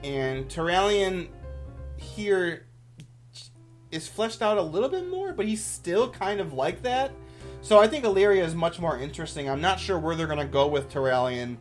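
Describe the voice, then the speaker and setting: A man's voice: high-pitched, lively intonation, moderate pace, faint music behind. A man giving casual, off-the-cuff commentary as a YouTuber, with an enthusiastic, rambling manner.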